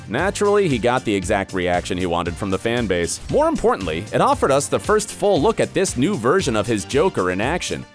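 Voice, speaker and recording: A man narrates a scripted film breakdown at a middle pitch, with intonation that rises and falls dramatically. His delivery is emphatic yet conversational, like an online presenter. Faint music plays behind him. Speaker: video narrator.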